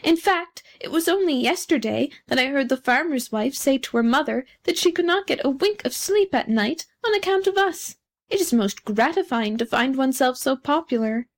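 A woman's voice: high-pitched, lively intonation, moderate pace, voice pushed up. A woman voicing a talkative, self-satisfied little male frog in a storybook reading. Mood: gushing, proud.

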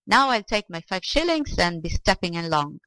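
A woman's voice: fairly low pitch, sing-song, normal speed, pushed and bright, no room sound, low-quality recording. A woman voicing a cheerful, stubborn male servant in a storybook, speaking plainly and firmly. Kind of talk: storybook character voice.

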